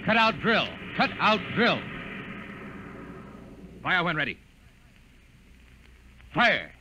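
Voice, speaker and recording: Stern, animated warship commander. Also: male voice, drawn-out words, exaggerated voice. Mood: urgent, commanding. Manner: authoritative, barking orders. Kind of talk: 1950s radio drama acting. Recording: noisy old radio broadcast, engine rumble.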